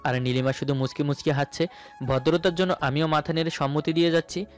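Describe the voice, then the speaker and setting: A man's voice: on the high side, fairly even intonation, a little fast. A man narrating a romantic story dramatically in the first person, as its male lead. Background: background music.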